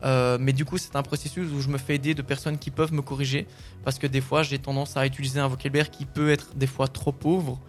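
Hesitant man with a flat voice. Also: mid-range voice, quick pace, faint music behind. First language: French. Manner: hesitant, many fillers and restarts. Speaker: singer-songwriter guest. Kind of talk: casual interview answers.

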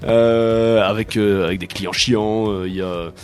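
A man's voice: lowish voice, steady tone, a little slow, a little mellow, smooth. A French-speaking man, a band's songwriter, talking casually in an interview with many fillers and restarts. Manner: hesitant, many fillers and restarts, laughs easily. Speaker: musician, band songwriter.

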